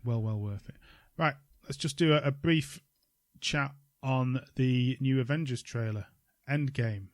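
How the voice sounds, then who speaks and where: A man's voice: mid-range voice, unhurried, few pauses, dry recording. Casual man co-host, dry close sound. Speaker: podcast co-host. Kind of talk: casual chat.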